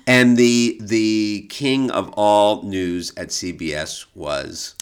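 Low-voiced man telling stories on a podcast. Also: fairly even intonation, slow pace, clear, resonant tone. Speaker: podcast co-host. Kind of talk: casual conversational storytelling about art history.

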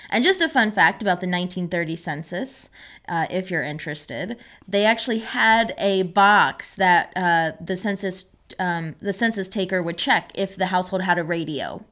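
A woman with a slightly low voice co-hosts a podcast. She talks casually and conversationally, slipping in playful asides as she introduces things. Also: moderate pace, fairly bright tone. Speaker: podcast co-host, archives manager. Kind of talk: casual chatty podcast hosting.

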